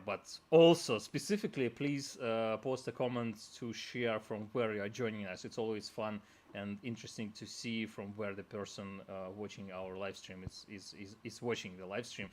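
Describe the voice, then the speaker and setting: A man's voice: fairly low pitch, a little mellow. A man whose first language is Russian, hosting a live stream in a casual way, repeating words as he rambles and laughing often.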